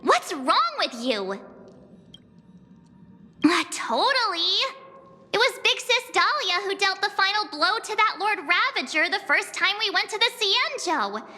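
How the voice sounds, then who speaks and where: Very high-pitched, perky game girl. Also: sing-song, a little slow, sharp tone, big-room sound, faint music behind, exaggerated voice. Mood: exasperated. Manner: exclamatory, teasing. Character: perky, excitable young girl, chatty and gushing companion. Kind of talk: video game voice acting.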